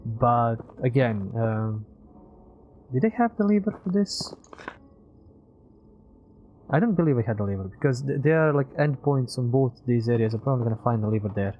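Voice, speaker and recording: A man's voice: medium pitch, expressive, normal speed, long pauses, muffled recording, a little hiss or hum. A man doing casual live game commentary as a streamer, chatting with viewers and rambling as he thinks aloud.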